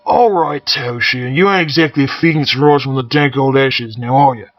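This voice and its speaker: A man voicing a loud, rude male character with a Cockney accent in a storybook reading. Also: mid-range voice, moderate pace, dull audio, close to an everyday voice. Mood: sneering.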